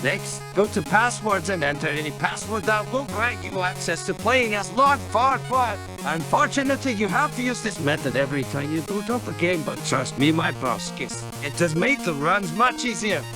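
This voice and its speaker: A man's voice: sits high, animated, moderate pace, hardly any pauses, poor audio. A man hosting a video game tutorial, narrating in a playful, exaggerated style full of internet slang, with music behind him. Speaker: gaming tutorial host.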